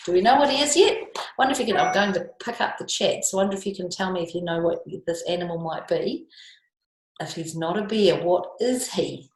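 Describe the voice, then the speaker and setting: A woman's voice: lowish voice, fairly quick. A woman with a New Zealand accent reading picture books to children, adding chatty, questioning asides, in an echoey room. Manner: questioning asides to young listeners, chuckling. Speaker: children's storytime reader. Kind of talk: picture-book read-aloud with chatty asides.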